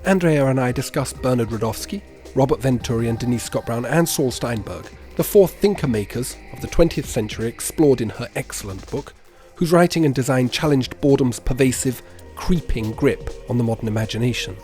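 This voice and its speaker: A man's voice: medium pitch, fairly quick, mellow, soft background music. A man hosting a podcast, speaking in articulate, formal phrases as he introduces and interviews.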